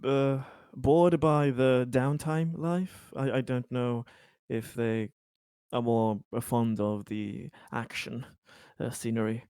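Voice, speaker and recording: A polite, considerate colonist, played by a man, speaks slowly and haltingly in improvised tabletop roleplay. The voice is clean and smooth. The sound is close and dry. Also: mid-range voice, fairly even intonation, dark tone, no echo. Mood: tentative, friendly.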